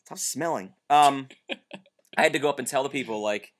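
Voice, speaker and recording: A young man with a loud, booming voice, telling a long story in casual chat, rambling, with constant "like" and "dude" fillers. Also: fairly quick. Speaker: co-host recounting a bookstore event.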